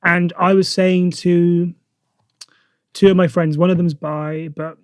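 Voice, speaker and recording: A man's voice: high-pitched, level tone, slow pace, clean tone. A man hosting a podcast in casual chat, telling stories in an easy, agreeable way. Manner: conversational storyteller, agreeable, chatty. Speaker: podcast host.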